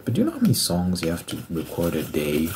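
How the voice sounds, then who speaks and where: A man's voice: low voice, huge pitch swings, quick pace, noisy background. A man in his twenties chatting casually on an ASMR channel, musing aloud and doing sums as he talks to himself. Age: 20s. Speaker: ASMR creator and musician. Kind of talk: casual rambling ASMR chat.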